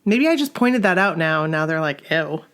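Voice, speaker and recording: A woman's voice: low-pitched, expressive, brisk, fairly bright tone, clean tone. A woman with a Maine accent co-hosting a podcast in casual chat, nasal and congested from a sore throat, chatty and self-deprecating. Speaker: podcast co-host.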